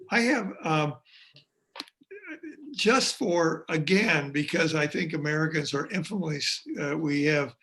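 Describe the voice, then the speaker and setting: A man, a pastor hosting an online parish interview, speaking haltingly with many uh's and a dry joke. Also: fairly high pitch, creaky, a bit bright.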